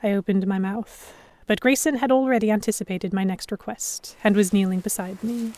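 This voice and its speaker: A woman narrating in first person as a composed captive in a historical pirate audio drama, with measured, dramatic storytelling. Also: medium pitch, steady tone, a little mellow, close to an everyday voice. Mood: measured, tense. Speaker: narrator and lead actor.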